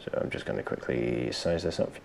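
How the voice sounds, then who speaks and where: A man presenting a software tutorial step by step, informally thinking aloud as he explains. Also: very deep voice, animated, brisk, gravelly, slight background noise. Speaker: tutorial host.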